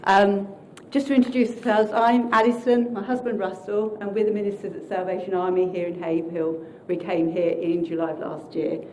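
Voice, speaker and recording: A woman who is a Salvation Army minister, giving a friendly presentation to a council meeting from prepared notes in her loud voice. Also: mid-range voice, little pitch movement, brisk, hardly any pauses, slight background noise.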